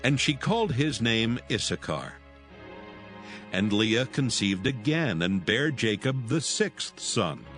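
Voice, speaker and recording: A man's voice: mid-range voice, animated, slow pace, a bit bright, faint music behind. A man narrating scripture from a script in a solemn style.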